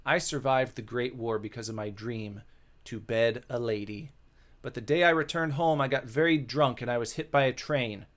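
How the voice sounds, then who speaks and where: A man's voice: mid-range voice, normal speed, fairly bright tone, close to an everyday voice. Man voicing a lovelorn, earnest young male ghost. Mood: earnest, frustrated. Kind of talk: dramatic visual novel reading. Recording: music behind the voice.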